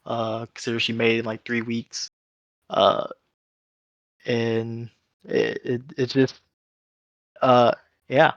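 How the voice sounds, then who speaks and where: Laid-back male co-host, mid pitch, filler-heavy chat, dry mic. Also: slow, long pauses, no room sound, muffled recording. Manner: relaxed, filler-heavy speech. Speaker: podcast co-host. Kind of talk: casual album review chat.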